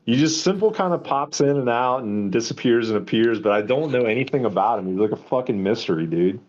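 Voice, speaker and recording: A man's voice: medium pitch, lively intonation, fairly quick. A man raised in the US South, with a toned-down Southern accent, trading crude, profanity-laced jokes in a casual live chat. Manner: crude, profane, joking banter. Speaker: chat participant. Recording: dull lo-fi audio.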